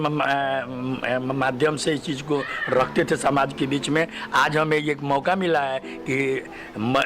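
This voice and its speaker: Theatre man, average pitch, testimonial talk with music underneath. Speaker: theatre group member. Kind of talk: tribute testimonial. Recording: music behind the voice.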